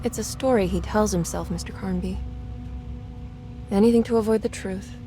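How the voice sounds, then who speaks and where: A somber young woman, played by an actress in a game drama, with a medium-pitched voice. She is anxious yet measured and polite, with music underneath. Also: natural voice. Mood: gloomy, resigned. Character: somber, anxious young female client seeking her uncle. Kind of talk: cinematic game voice acting.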